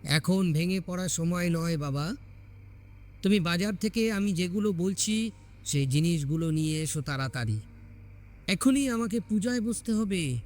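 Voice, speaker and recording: A man's voice: sits high, lively intonation, measured pace, pauses often, darkish tone. Man voicing a commanding holy man. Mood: urgent.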